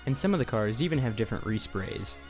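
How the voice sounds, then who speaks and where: A man's voice: mid-range voice, animated, fast. A young man doing a casual voice-over game review, sarcastic and profane. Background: faint music.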